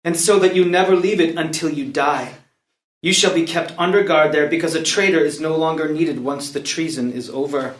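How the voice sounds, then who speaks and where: A man's voice: fairly high pitch, fairly even intonation, normal speed, natural voice. A man playing a proud young prince in a live reading of a classical play, with formal, declamatory delivery. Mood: stern, commanding. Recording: roomy echo around the voice.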